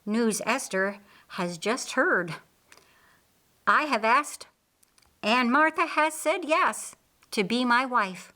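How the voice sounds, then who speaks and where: A woman voicing a kindly, earnest male master of a household in an audiobook, speaking in a measured, formal way. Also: on the high side, expressive, pushed and bright, voice pushed up, exaggerated voice. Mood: formal, glad.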